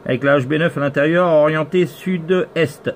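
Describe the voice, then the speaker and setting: A man's voice: fairly high pitch, fairly even intonation, normal speed. Man making casual radio calls, faint noise. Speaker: radio operator recording a contact video. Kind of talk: casual radio contact chat. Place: indoors at home.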